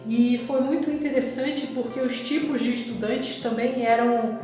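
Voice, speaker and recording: A woman with a Rio de Janeiro Brazilian accent, telling personal travel memories casually and reflectively, often pausing mid-sentence. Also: on the high side, steady tone, a little mellow. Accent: Rio de Janeiro Brazilian Portuguese. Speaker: vlogger recounting travel memories. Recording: very echoey, reverberant room with music.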